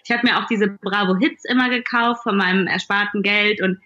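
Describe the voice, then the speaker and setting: A woman's voice: medium pitch, steady tone, fairly quick, sharp tone, highs cut off. A woman from the Duisburg area of western Germany, in her thirties, chatting casually and telling personal anecdotes as a podcast guest.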